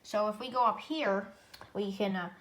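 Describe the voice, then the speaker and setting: A woman giving a casual, unscripted gadget demonstration in a rambling, halting way, often correcting herself. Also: mid-range voice. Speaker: product reviewer. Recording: echoey room, loud background noise.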